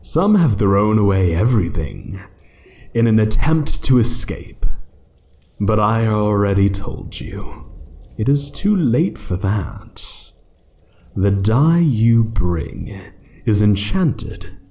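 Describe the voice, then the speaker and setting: Man voicing a deep, dark-voiced, menacing dragon. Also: sing-song, heavily acted. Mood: menacing, playful. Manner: slow, theatrical, condescending, with hushed moments. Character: ancient male dragon, playful, menacing hoard guardian toying with intruder. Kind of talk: fantasy ASMR roleplay monologue.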